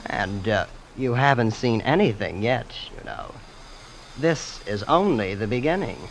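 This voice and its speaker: An actor playing a breezy, immensely rich young heir who is casually ruthless, boasting in a nonchalant, understated way in an old-time radio drama. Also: male voice, medium pitch, animated, unhurried, over music, rough recording. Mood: smugly casual. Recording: old radio broadcast with clear noise.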